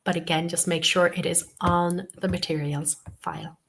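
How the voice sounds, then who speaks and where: A woman with a slightly low voice and a sniffly cold leads an online teacher-training webinar. She explains things patiently, keeps checking in with "okay" and comes back to the same points more than once. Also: flat delivery, moderate pace, gravelly, fairly bright tone. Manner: patient, repeats key points, many "okay"s and "um"s. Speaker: TEFL course tutor. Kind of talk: webinar instruction.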